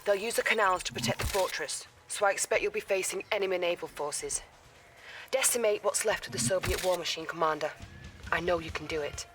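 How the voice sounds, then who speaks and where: A woman's voice: fairly low pitch, fairly quick, distant mic, over music, lightly acted. A woman playing an eager military intelligence officer, giving a businesslike, encouraging mission briefing in a video game. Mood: confident.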